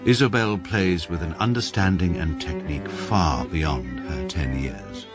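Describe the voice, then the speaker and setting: An English actor playing a precise, dry, middle-aged male barrister who narrates his own story in a radio drama. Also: low-pitched. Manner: measured, dry and literal.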